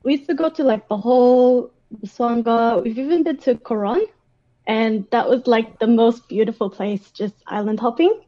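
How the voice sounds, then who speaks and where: A woman's voice: a little high, normal speed, muffled recording. A woman with an Australian accent chatting casually and telling personal stories in an informal, talkative way, as a guest in an interview. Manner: chatty, animated retelling with acted-out quotes and frequent "like".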